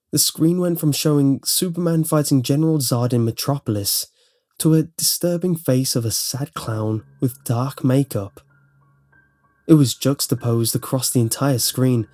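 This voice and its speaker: Mellow male horror story narrator. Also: mid-range voice, a little slow. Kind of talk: scripted creepypasta narration. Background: faint music.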